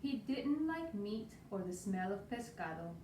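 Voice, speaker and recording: A woman from Spain reads a picture book to children, her Spanish accent clear and her delivery lively and rhythmic. She sits some way from the camera in a small room with a roomy echo. A faint hiss sits under her voice. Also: medium pitch, measured pace, distant mic. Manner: lively, rhythmic read-aloud with Spanish words. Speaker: storytime reader. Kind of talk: reading a picture book aloud. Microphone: far camera.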